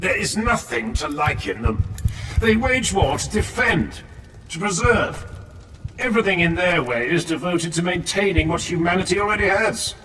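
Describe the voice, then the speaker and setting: A man's voice: sits high, expressive, normal speed, reverberant, distant mic, background noise, pitched above the performer's own voice. A man voicing a proud, hot-headed young warrior who argues indignantly in a dramatized audiobook. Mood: scornful, indignant. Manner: indignant, argumentative. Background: music.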